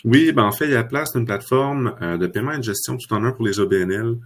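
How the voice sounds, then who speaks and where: A man, a customer success manager calmly explaining a platform during a webinar. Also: mid-range voice, fast, slight background noise. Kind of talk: webinar presentation.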